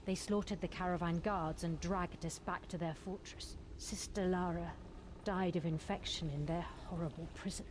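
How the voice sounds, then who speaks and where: A woman playing a gentle, grateful young nun rescued from captivity in a fantasy video game, speaking with earnest politeness. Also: on the low side, steady tone, normal speed, background noise, natural voice. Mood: sombre, earnest. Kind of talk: scripted fantasy game acting.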